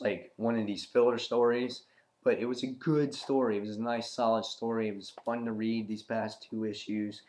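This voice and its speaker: A man with a raspy voice, getting over the flu, casually and enthusiastically reviewing comic books with lots of fillers and restarts. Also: fairly low pitch. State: recovering from the flu.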